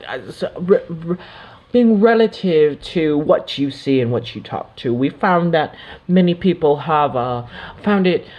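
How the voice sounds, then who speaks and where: Slow, mystical man channeling. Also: fairly high pitch, muffled recording. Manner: slow, drifting and mystical, with pauses and soft trailing asides. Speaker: spiritual channeler. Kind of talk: channeled spiritual monologue.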